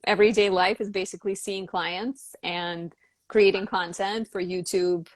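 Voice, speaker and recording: A woman's voice: mid-range voice, fairly even intonation, moderate pace, no echo. Chatty woman astrologer, dry sound. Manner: chatty, frequent "like" fillers. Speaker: astrologer and channel host. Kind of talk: casual live-stream chat.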